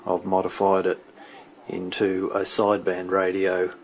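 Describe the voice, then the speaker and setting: Lowish monotone guy showing off his hobby gear, hesitant, thinking out loud as he goes. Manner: halting, hesitant, thinking aloud. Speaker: hobbyist demonstrating his radio equipment. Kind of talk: unscripted hands-on equipment walkthrough.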